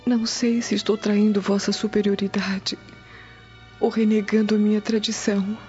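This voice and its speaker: A woman's voice: mid-range voice, level tone, normal speed, muffled recording, soft background music, natural voice. An actress playing an anxious, pious Roman mother praying solemnly in a radio drama. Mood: pleading. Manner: hushed, pleading, solemn.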